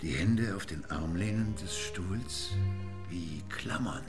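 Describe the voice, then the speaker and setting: Deep voiced blunt cultured blind man, radio play, big swoopy delivery, music behind. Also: animated, slow pace, heavily acted. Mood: eager, absorbed. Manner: blunt, grumbling, emphatic. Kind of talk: radio drama acting.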